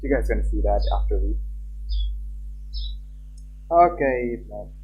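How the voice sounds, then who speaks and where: A man's voice: on the low side, animated, measured pace. A young man chatting casually on a game livestream and reading story text aloud, loose and repetitive in his talk. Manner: chatty, loose, repeats himself. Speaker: livestreamer, host. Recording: dull lo-fi mic with background music. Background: game background music.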